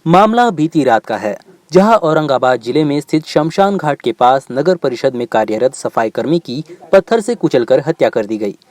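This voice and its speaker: A man's voice: mid-range voice, lively intonation, moderate pace, hardly any pauses, darkish tone, no room sound. A man delivering scripted news narration as a voice-over reporter.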